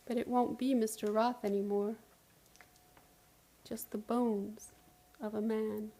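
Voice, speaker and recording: A woman's voice: on the high side, little pitch movement, slow, mellow, poor audio. An actress playing a distraught, haunted woman pleading in an old-time radio play. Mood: haunted, morbid.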